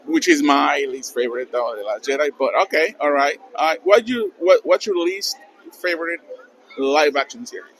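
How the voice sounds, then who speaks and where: A man with a Mexican accent asks fans quick questions in a busy convention hall, his voice sitting a bit high. He talks in a friendly way, often stumbling and restarting his sentences. A faint hum of the crowded hall stays behind him. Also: a bit bright. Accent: Mexican Spanish. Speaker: interviewer and channel host. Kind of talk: casual fan interviews at a convention.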